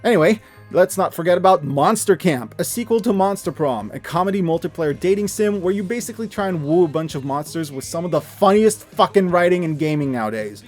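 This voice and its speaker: A man with a slightly high voice presents scripted recommendations as a review host. His delivery is casual, enthusiastic and emphatic, with some mild swearing. Faint music plays behind him. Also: lively intonation, brisk, darkish tone.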